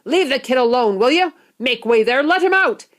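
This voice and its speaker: A woman voicing a big, kindly, excitable male shopkeeper in a storybook, hearty and exclamatory. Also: high voice, a little slow, pitched above the performer's own voice. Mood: firm.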